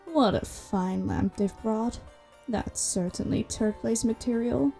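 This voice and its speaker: A woman playing a haughty vampire rival in an audio drama, husky and creaky, with condescending drawl. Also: a little high, slow, mellow, soft background music. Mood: smug. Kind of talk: cinematic audio roleplay acting.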